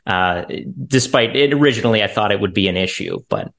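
A man's voice: on the low side, wildly swooping pitch, a little fast, vocal fry, a bit bright. A young man with a youthful voice, an actor talking casually in an interview. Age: young adult. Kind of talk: casual chat.

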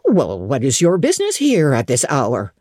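Woman putting on a gruff old servant woman, low and husky, storybook. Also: huge pitch swings, moderate pace, warm tone, no echo, clean studio sound, heavily acted. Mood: suspicious, stern. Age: older. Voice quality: gruff, plain-spoken. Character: blunt, suspicious old female servant.

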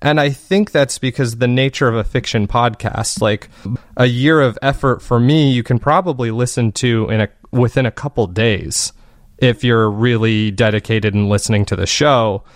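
A man with a medium-pitched voice talks as a guest in an interview. He explains things in an earnest, reflective way, conversational and unscripted. The sound is dry, with very little room in it. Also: normal speed, dry recording. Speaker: guest, fiction podcast creator.

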